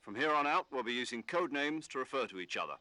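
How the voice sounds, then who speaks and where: Bright-voiced, authoritative military commander. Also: male voice, mid-range voice, lively intonation, dry recording. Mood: stern, wry. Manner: measured, instructive, authoritative. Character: male military commander briefing an agent, calm and authoritative. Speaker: mission commander giving radio briefing. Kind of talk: scripted video game voice acting.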